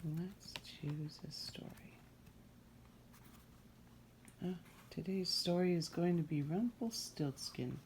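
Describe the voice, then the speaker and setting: A woman storyteller reads a fairy tale aloud. Her voice is low, soft-edged and mellow. She takes her time and tells it expressively, as at storytime. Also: a little hiss or hum, poor audio. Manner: unhurried, expressive storytime delivery.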